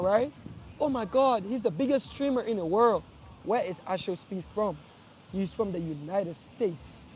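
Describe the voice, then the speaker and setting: Young man, high voice, earnest, halting restarts, outdoors, faint street traffic behind. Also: darkish tone, rough recording. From Liberia. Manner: earnest, repeats and restarts phrases. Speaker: streamer. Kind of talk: casual live address to followers.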